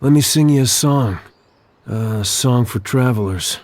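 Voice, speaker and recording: Male actor as humble cryptic busker, slow, mid-pitched, prophetic, storytelling game dialogue. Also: a little mellow, no echo, lightly acted. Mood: humble, mysterious. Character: humble, enigmatic male street singer, prophetic and gentle. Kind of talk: animated game cutscene dialogue and song.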